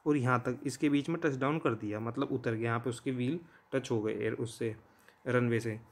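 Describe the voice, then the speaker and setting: An Indian man teaching in an informal, conversational way, explaining step by step and often checking in with listeners. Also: medium pitch. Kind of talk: informal explanatory lesson in Hindi mixed with English terms.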